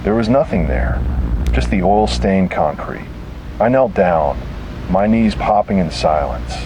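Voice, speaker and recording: Very deep male horror narrator over noise. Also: lively intonation, unhurried. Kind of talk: scripted horror story narration, first-person detective.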